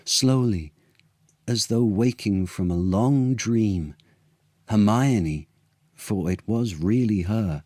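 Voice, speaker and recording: Male actor for children's storytime: deep register, unhurried theatrical delivery, very dry sound. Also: darkish tone, dry recording. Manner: theatrical, unhurried, with dramatic pauses. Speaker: actor reading aloud as storyteller. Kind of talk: expressive children's storytime narration of a literary tale.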